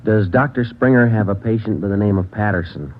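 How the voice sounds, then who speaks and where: An actor playing a terse, businesslike police detective with an American accent, narrating and talking in a clipped, deadpan way in 1940s radio crime drama style. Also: male voice, lowish voice, a little fast, close to an everyday voice. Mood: polite, businesslike. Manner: clipped, matter-of-fact, deadpan. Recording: muffled vintage radio recording with music.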